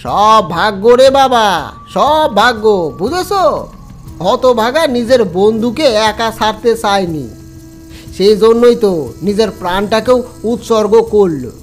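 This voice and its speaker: A man's voice: high voice, lively intonation, takes it slowly, faint music behind. Man playing a resigned, consoling village elder.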